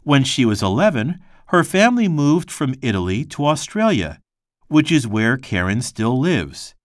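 A man reading a textbook passage aloud in a measured, scripted way. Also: medium pitch, very animated, no room sound, studio quality. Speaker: textbook reader.

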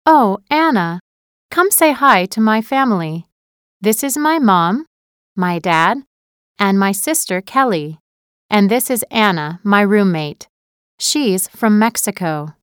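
A woman voices Beth, a polite young student who is new in town. Her voice is smooth and clean, her delivery is slow, and she pronounces every word with care. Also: medium pitch, expressive, fairly bright tone, dry recording, clean studio sound. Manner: careful, clear pronunciation. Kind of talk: scripted textbook dialogue.

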